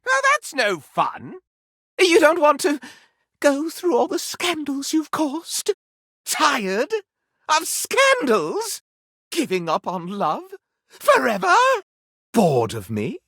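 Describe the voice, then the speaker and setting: A man's voice: very high-pitched, huge pitch swings, slow pace, pauses often, fairly bright tone, no room sound, clean studio sound, full character voice. A man voicing a gossipy, flamboyant candelabra dandy in a video game, with florid, campy, melodramatic delivery and affected airs. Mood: theatrical, teasing. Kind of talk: theatrical video game character acting.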